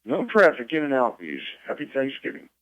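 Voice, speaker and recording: A man's voice: mid-range voice, wildly swooping pitch, brisk. A man checking in as a ham radio operator in a procedural style. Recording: dry, slightly dull radio transmission.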